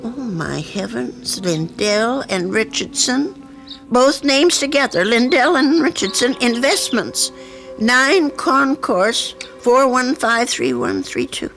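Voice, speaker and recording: A woman's voice: fairly high pitch, expressive, slow, fairly bright tone, soft background music, full character voice, poor audio. An actress playing an anxious, flustered elderly landlady with a hesitant manner in an old radio drama. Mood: anxious wondering. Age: older. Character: anxious, fretful elderly landlady, reluctant but conscientious. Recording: vintage radio drama recording.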